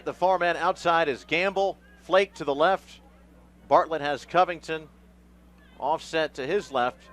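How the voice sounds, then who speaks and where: A man's voice: on the high side, a bit bright. A man doing live radio football play-by-play in clipped phrases, with emphatic calls when the action builds. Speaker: play-by-play announcer.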